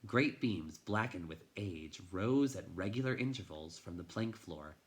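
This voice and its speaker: A man reading a children's novel aloud in an expressive, dramatic way, moving between hushed and intense delivery. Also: deep voice, unhurried. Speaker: teacher reading a storybook aloud.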